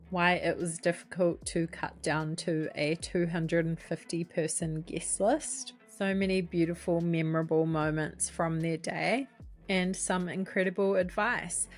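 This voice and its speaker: A woman with a New Zealand accent, a wedding photographer hosting a podcast, reading an intro and then chatting with guests in a bright, upbeat way. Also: fairly low pitch. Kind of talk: scripted podcast intro and casual interview.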